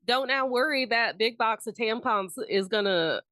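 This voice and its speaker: A woman's voice: fairly high pitch, expressive, moderate pace, bright, forceful tone, no room sound, clean studio sound. A woman from Indiana hosting a podcast, chatting casually and laughing often at her own stories. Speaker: podcast host. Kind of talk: casual chat.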